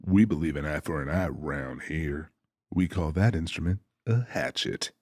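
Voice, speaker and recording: A man's voice: very deep voice, moderate pace, a little mellow, no echo, clean studio sound. A man voicing a menacing, mock-polite crime boss out for revenge in an audiobook, with a folksy, formal manner. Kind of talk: audiobook character acting.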